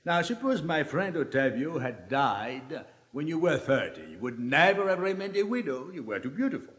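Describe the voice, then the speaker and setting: Suave cynical libertine, lively swinging intonation, declamatory 1950s stage drama, long hall reverb. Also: male voice, on the high side. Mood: teasing, argumentative. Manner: rhetorical, deliberate, declamatory. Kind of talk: 1950s theatrical dramatic reading.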